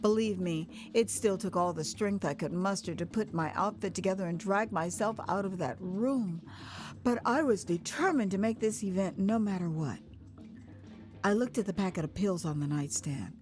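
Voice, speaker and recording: An American woman narrating her own memoir as a dramatized first-person story, conversational and expressive, acting out moments as she goes. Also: medium pitch, normal speed. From United States. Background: music.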